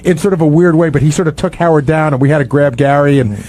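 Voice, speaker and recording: Radio host, male, pitch a little above average, chatty and anecdotal. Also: fast talker, darkish tone. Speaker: radio show host and staffer. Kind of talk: casual chat, animated anecdotes.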